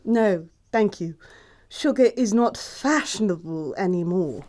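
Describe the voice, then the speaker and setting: Woman acting young English aristocrat, snobbish, pompous, precise diction, medium pitch, disdainful, period comedy audio play. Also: lively intonation, measured pace, darkish tone, natural voice. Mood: icily polite. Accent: English upper-class. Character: haughty, snobbish young society lady, cuttingly polite.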